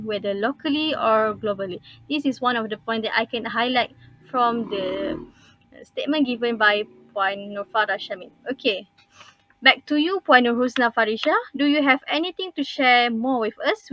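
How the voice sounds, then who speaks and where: A woman's voice: a little high, fairly bright tone, no room sound. A young Malaysian woman moderating a forum, with formal, polite handovers between speakers. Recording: dull lo-fi recording.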